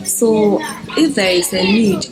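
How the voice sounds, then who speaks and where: A woman speaking in a measured, heartfelt way in a documentary interview about a village school. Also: mid-range voice. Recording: documentary clip over loud music.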